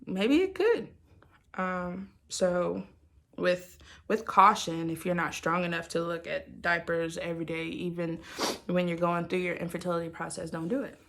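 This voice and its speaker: A woman's voice: fairly low pitch, moderate pace, gravelly, fairly bright tone. A woman talking informally and candidly to her viewers, like a chatty personal video diary. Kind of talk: casual confessional chat to viewers.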